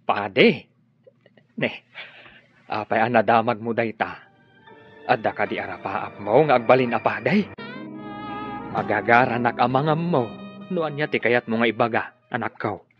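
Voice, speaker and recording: A middle-aged man acting as a calm father who speaks slowly and measuredly in a radio drama. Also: medium pitch, close to an everyday voice. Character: calm older father, slow-spoken. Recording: dull audio with background music.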